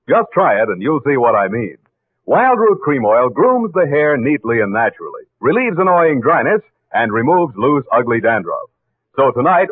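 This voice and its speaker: A man's voice: mid-range voice, breathy edge, no echo. A man announcing on 1940s radio in a polished, selling style. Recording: tinny, muffled 1940s radio recording.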